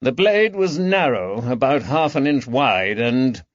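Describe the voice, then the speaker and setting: A man voicing a brisk, sardonic gentleman surgeon in an audiobook, clipped, formal and condescending. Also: mid-range voice, lively intonation, no room sound, muffled recording, close to an everyday voice. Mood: brisk, dry. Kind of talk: audiobook character dialogue.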